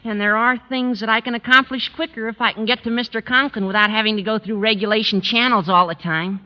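Squeaky teenage schoolboy played by a man, muffled radio. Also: steady tone, fast, natural voice. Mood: eager, earnest. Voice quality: squeaky adolescent voice. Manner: flowery, earnest, over-gallant. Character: eager, florid, puppyish teenage schoolboy. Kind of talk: 1940s-50s radio sitcom acting. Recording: muffled old radio recording.

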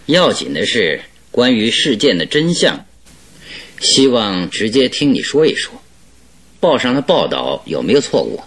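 An actor in a radio drama playing a genial, self-assured detective with a teasing, politely probing manner. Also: male voice, medium pitch, sing-song, measured pace, fairly bright tone, slightly rough, full character voice. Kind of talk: Chinese radio drama acting.

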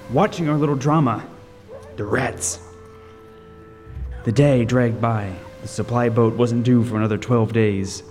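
A male actor as a lighthouse keeper haunted by his ordeal, narrating a radio drama. His medium-pitched voice is tense and deliberate, with suspenseful pauses. It rings with hall reverb, and music plays underneath. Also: darkish tone, lightly acted.

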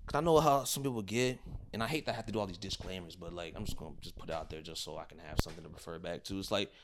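A man with a low voice chats on a podcast. He qualifies his points, stacking fillers and disclaimers. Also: very fast, slight background noise. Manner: hedging, many fillers and disclaimers. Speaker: podcast co-host. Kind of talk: casual chat.

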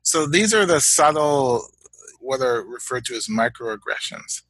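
A Canadian man and father of young children, talking as a guest in a reflective interview, measured and halting, often repeating words as he thinks. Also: medium pitch, animated, fairly bright tone, breathy edge, no echo.

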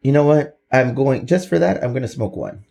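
A man in his thirties hosts a loose, joking podcast chat. He swears freely and slips into Spanish phrases as he talks, with a voice of ordinary pitch for a man. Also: animated, fairly quick, gravelly, mellow. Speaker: podcast host. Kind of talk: casual podcast banter.

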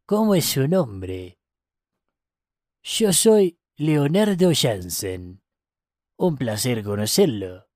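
Guy doing an old argentine tour guide for a story, slow n polite, lively pitch, dry sound. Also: dry recording. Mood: polite, routine.

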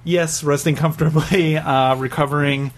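Podcast host, male, somewhat high-pitched, joking manner, lots of hesitations. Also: steady tone. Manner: joking, halting with many fillers. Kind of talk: casual chat.

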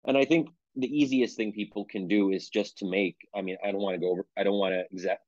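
A man's voice: fairly low pitch, fairly even intonation, fast, a little mellow, no echo. A man from Pennsylvania, an author being interviewed, talking in a thoughtful way and often correcting himself. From United States (Pennsylvania). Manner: thoughtful, self-qualifying, frequent restarts. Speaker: author, guest. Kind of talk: conversational interview answer. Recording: dull lo-fi dry sound.

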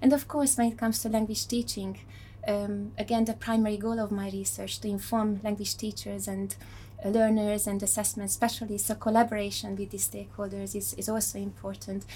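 A woman's voice: mid-range voice, flat delivery, fairly quick, warm tone. A female researcher explains her work carefully and steadily, with very little rise and fall in her voice. Faint noise hums in the background. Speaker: language acquisition researcher. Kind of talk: academic discussion.

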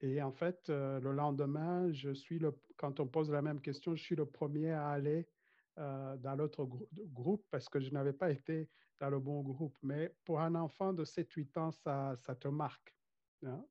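A man's voice: on the high side, steady tone, measured pace. A man from Rwanda who grew up speaking Kinyarwanda, talking casually as an interview guest, hesitant, with many restarts and frequent laughs. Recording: dull lo-fi sound.

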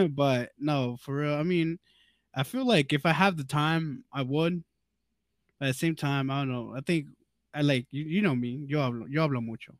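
A man's voice: a little high, a little slow, no echo, studio quality. A man chatting casually as a podcast guest, slangy and jokey, peppering his Spanish with English fillers. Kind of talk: casual bilingual chat.

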